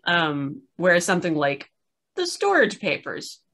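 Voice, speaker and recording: A woman's voice: on the low side, wildly swooping pitch, a little slow, fairly bright tone. A middle-aged woman hosting a casual, unscripted chat over a video call, rambling with self-deprecating humour. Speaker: host. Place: room at home, video call.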